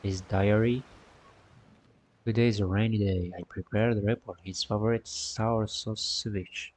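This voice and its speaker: A man's voice: fairly low pitch, steady tone, measured pace, a little mellow, low-quality recording. Man muttering through casual game commentary. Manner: muttering to himself, repeating phrases, puzzled asides. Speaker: game streamer. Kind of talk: casual gameplay commentary, reading quest text aloud. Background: game music.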